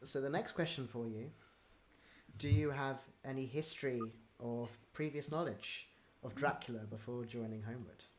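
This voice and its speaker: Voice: medium pitch, normal speed, crowd noise. A host running a casual livestream Q&A over a video call, friendly and rambling with many ums. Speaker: audio drama producer, Q&A host.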